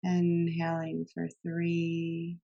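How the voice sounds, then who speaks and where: A woman leads a guided meditation with a slightly low, gravelly voice full of vocal fry. She gives calm, gentle instructions very slowly, leaving long pauses between cues. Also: muffled recording, soft background music.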